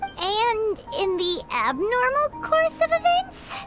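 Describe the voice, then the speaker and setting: A woman voicing a tiny, childlike fairy companion in an anime-style video game, with a squeaky voice and a cheeky, easily worried manner. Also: big pitch swings, slow, background noise, exaggerated voice. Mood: nervous. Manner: squeaky, childish, refers to self in third person.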